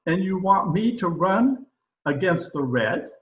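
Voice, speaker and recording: A man's voice: sits high, very animated, a little slow, a bit bright, echoey room, slight background noise, full character voice, low-quality recording. A man playing a pompous, blustering dictator president in an old-time radio comedy recorded over a video call. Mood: casually sly. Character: pompous, excitable dictator president of a banana republic.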